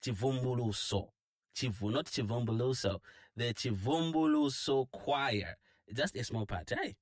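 Upbeat Zambian radio host, dry studio sound. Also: male voice, mid-range voice, a little slow, no echo. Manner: upbeat, playful, affirming with frequent hums. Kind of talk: live radio interview.

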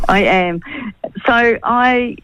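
A woman, calling in to a talkback show as a political candidate, her voice a little croaky, chatty, with many restarts. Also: a little high, very slow, fairly bright tone, a little hiss or hum. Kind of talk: talkback phone call. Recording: dull, dry phone line.

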